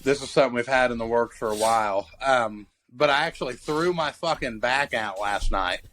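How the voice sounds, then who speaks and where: A man's voice: mid-range voice, fairly quick, a bit bright, poor audio. A man, co-hosting a casual podcast from a basement den and telling profane, rambling stories. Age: 20s. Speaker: podcast co-host. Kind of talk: casual chat. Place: cold basement den in a house. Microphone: handheld mic.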